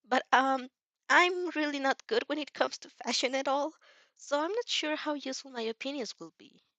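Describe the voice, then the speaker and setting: A woman's voice: high-pitched, normal speed, sharp tone, no echo, voice pushed up. A woman voicing a shy, kindly teenage boy in a story, hesitant and apologetic. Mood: nervous, helpful. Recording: dry, slightly dull lo-fi recording.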